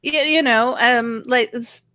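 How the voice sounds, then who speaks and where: A woman with a bright, forward voice talks frankly in casual, opinionated chat as a show co-host. The sound is dry and close, with very little room around it. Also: on the high side, slow pace, no room sound. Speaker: co-host of a political rant show.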